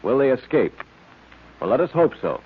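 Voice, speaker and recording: An American man announcing and pitching for the sponsor in an emphatic, cheery old radio style. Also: big pitch swings, moderate pace, husky. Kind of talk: 1930s-40s radio announcing and advertising. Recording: tinny old radio recording.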